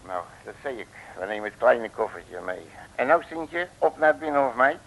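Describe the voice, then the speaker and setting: A man's voice: medium pitch, animated, normal speed, creaky, fairly bright tone, rough recording. A man playing a brash, cocky, boastful detective in a 1950s radio serial, bossy and full of colourful folksy slang. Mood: bossy, brisk. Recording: old radio recording.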